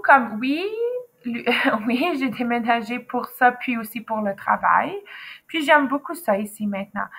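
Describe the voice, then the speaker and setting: Bright-voiced woman with a Québécois accent. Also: on the high side, poor audio. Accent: Québécois French, softened. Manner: chatty, many fillers and restarts, trailing off into asides. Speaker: teacher, interview guest. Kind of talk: casual chat.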